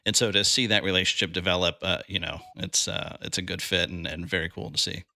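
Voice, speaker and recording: A man hosting a podcast, speaking in a relaxed conversational way with many fillers. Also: deep voice, a little fast, creaky, dry recording, clean studio sound. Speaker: podcast host. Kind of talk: conversational interview.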